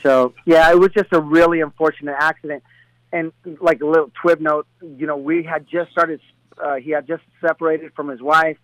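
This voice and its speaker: A middle-aged man from Colombia, speaking as a guest in a relaxed interview. He rambles and restarts often, filling gaps with "you know" and "uh". His voice sits a bit high for a man, recorded dry and close. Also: moderate pace, dry recording, poor audio. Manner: rambling, many restarts and fillers. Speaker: boxing trainer, guest. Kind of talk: casual interview talk.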